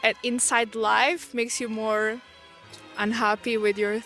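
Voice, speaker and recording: A woman's voice: a little high, level tone, takes it slowly, a bit bright, faint music behind. A woman answering a street interview, speaking hesitantly in simple phrases.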